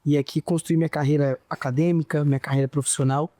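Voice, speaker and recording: A man's voice: a little high, level tone, fast talker, mellow, dry recording. A young man with a near-carioca accent from Santos Dumont, Minas Gerais, telling his story earnestly as a podcast guest. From Minas Gerais, Brazil. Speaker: guest, engineer. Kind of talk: interview answers, storytelling.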